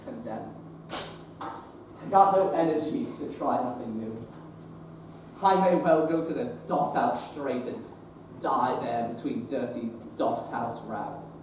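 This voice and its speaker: A man's voice: mid-range voice, darkish tone. A young man acting a bitter, despairing Victorian showman with a bleak, self-pitying manner. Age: young adult. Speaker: stage actor. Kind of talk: live stage play acting. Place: theatre stage. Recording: echoey stage recording from far off, noisy.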